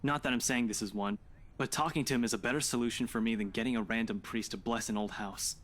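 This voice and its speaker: A man's voice: medium pitch, steady tone, fast, fairly bright tone, close to an everyday voice. Man acting glib, teasing young man. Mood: persuasive. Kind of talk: visual novel voice acting.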